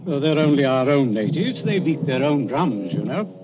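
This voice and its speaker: Man acting stuffy british official, middle-aged, pompous, lively pitch, muffled hissy old radio play. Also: normal speed, over loud music, natural voice. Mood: dismissive. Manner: pompous, clipped, indignant.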